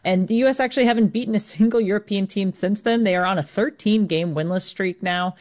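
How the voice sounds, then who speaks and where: A woman's voice: mid-range voice, fast talker, a little mellow. An American woman working as a sports correspondent, explaining things informally in a conversational live radio report.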